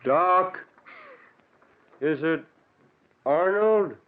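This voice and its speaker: A man's voice: high-pitched, very slow. A man playing a nervous, folksy judge in a 1940s radio drama, hesitant and jumpy. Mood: shaken. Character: nervous, folksy judge tagging along on a search. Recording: thin, tinny 1940s radio recording.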